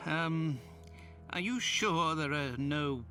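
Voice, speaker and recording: An actor playing a fussy, questioning gentleman companion to a detective in a video game, formal and expressive. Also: a little high, takes it slowly, music in the background. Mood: uneasy. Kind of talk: video game dialogue acting.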